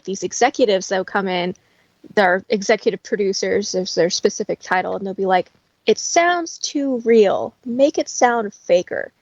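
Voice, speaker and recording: A soft-spoken woman chatting casually as an audio engineer guest. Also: medium pitch, no room sound, lo-fi sound. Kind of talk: casual chat.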